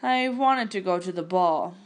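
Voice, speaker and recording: A woman's voice: fairly low pitch, expressive, a little slow. A woman reading a storybook as a plucky, plain-spoken young farm woman, dreamy and earnest. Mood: sniffly, wistful.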